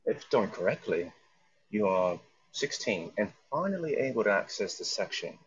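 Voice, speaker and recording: A man reads an earnest father in a science fiction drama. His voice is lowish and husky, and the audio is dull, like a voice-chat app. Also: very animated, moderate pace, exaggerated voice. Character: earnest father recording a message for his child. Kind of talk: screenplay table read.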